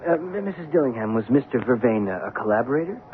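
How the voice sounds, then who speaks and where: An actor playing a harried, diplomatic producer's assistant in an old-time radio drama, halting and wry. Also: male voice, mid-range voice, sing-song, lightly acted. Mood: polite, puzzled. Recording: phone-like, noisy old radio recording.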